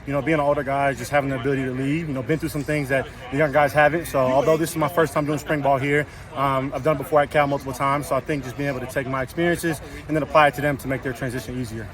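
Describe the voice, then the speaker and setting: A young man, a college offensive lineman with a loud, carrying voice, answering interview questions outdoors after practice in a rapid, repetitive way full of fillers. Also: mid-range voice, steady tone. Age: young adult. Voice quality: loud voice that carries. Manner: rapid, filler-heavy, repeats words. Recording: outdoor media scrum with crowd chatter.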